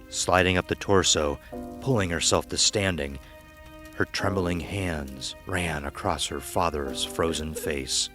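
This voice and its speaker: A man narrating a scripted horror story in a measured, dramatic, suspenseful way. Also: low voice.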